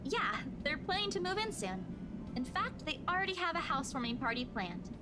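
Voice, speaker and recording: Feisty, indignant young woman for a visual novel: high, lively delivery with a teasing edge, over game music. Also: brisk. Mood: chatty.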